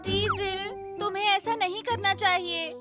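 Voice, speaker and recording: A woman voicing a friendly, concerned young male train in a children's cartoon, gentle, earnest and childlike. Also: very high-pitched, steady tone, a little slow. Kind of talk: animated children's cartoon dub. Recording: loud music behind the voice.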